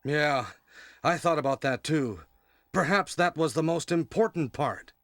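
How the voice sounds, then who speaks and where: A man's voice: on the high side, unhurried, fairly bright tone. An actor playing an uneasy, secretive male team partner in a 1990s video game, speaking in a stilted, emphatic way. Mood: uneasy, pensive. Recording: noisy old video game audio.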